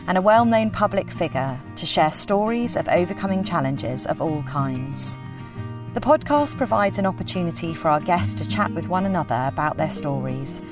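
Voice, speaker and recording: A woman hosts a podcast and reads a formal introduction at a measured pace. Her voice is low. She sits a little back from the mic in a dry space, with faint music underneath. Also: far from the mic. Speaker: podcast host. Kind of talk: scripted intro, then interview questions.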